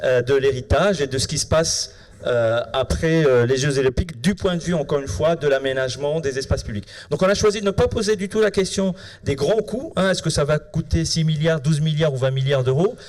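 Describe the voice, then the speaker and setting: A man opening a public lecture, thanking the guest and handing over the mic in a halting way, repeating words. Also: fairly high pitch, a little mellow. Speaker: event organizer introducing a lecture.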